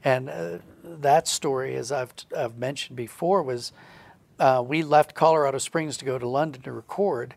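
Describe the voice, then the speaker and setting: Male interview guest, mid-pitched with noticeable creak, reflective storyteller explaining his thoughts. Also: moderate pace, no room sound. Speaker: guest storyteller, audio drama writer. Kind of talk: conversational interview talk.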